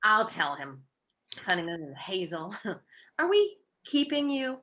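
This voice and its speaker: A woman's voice: medium pitch, sing-song, slow pace, bright, forceful tone, a little hiss or hum, exaggerated voice. A woman playing a clever, playful young wife in an old-time radio mystery, speaking in an arch, coy, affectionate way. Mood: arch, annoyed.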